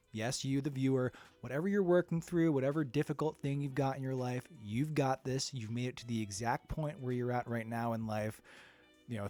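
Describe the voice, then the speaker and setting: A man's voice: medium pitch, a little mellow. A man with a nasal voice, stuffy from a cold, giving an upbeat, chatty card game strategy guide with plenty of fillers. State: under the weather, stuffy. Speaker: card game content creator.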